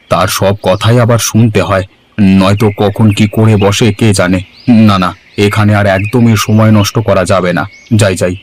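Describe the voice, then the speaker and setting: A man's voice: fairly low pitch, a little hiss or hum, lightly acted. A man voicing a haughty, anxious rich village husband in a cartoon story, fussy and exclamatory. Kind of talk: Bengali cartoon story voice acting.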